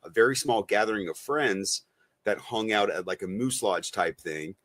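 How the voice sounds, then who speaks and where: A man's voice: lowish voice, moderate pace, fairly bright tone, dry recording. A man co-hosting a podcast in casual chat with friends, informal and full of slangy enthusiasm. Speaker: podcast co-host.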